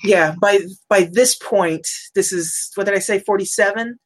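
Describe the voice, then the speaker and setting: A chatty woman co-hosting a relaxed talk show, laughing often as she goes. Her pitch sits in a middle range, and she keeps an easy, conversational flow while thinking aloud. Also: normal speed. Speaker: podcast co-host. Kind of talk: casual chat about old cartoons.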